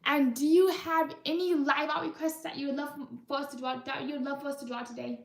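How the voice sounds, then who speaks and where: A young woman hosting a live art stream, chatting casually and rambling with many restarts in a high-pitched voice. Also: fairly even intonation, brisk, creaky. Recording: roomy echo, mic far off.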